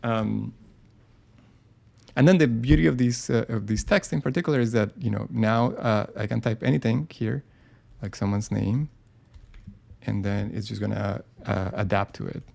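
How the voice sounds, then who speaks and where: Gravelly, mellow man thinking aloud. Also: fairly low pitch, normal speed. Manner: casual, thinking aloud with many ums and restarts. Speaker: design software presenter. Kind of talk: live screen-share tutorial.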